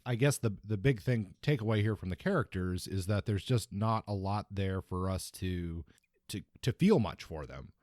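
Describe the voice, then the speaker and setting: A man co-hosting a casual book discussion, speaking in a measured, tentative way that softens his points. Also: on the low side, no room sound, clean studio sound. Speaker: podcast co-host.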